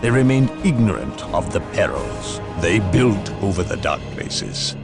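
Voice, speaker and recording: An actor playing a stern, secretive inquisitor in a video game, imperious and ominous in a measured delivery. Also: male voice, low-pitched, very animated, vocal fry, over music, heavily acted. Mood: ominous exposition. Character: stern, secretive male inquisitor of a grim empire. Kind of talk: dramatic video game cutscene acting.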